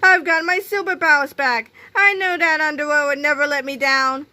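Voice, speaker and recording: A woman's voice: sits high, fairly even intonation, moderate pace, pushed and bright, voice pushed up. A woman voicing a boastful, exuberant male superhero in a storybook reading, proud and exclamatory. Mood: triumphant.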